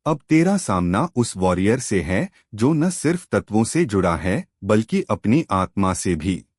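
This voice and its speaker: A man's voice: lowish voice, very animated, measured pace, a little mellow, dry recording, studio quality. A man voicing a confident, resolute young warrior hero in a fantasy audio story.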